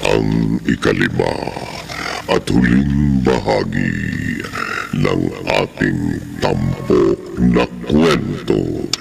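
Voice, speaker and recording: A man narrating a horror radio drama as its announcer, ominous and theatrical with drawn-out delivery. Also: very deep voice, gravelly, darkish tone, a little hiss or hum.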